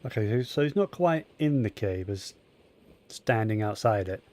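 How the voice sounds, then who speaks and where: A man's voice: on the low side, expressive, normal speed, a little mellow. A man streaming a game, making offhand casual comments over the game dialogue.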